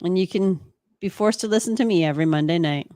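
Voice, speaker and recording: A woman's voice: on the low side, quick pace, warm tone. A woman co-hosting a live stream, reading out viewer chat and bantering casually in a playful, teasing way.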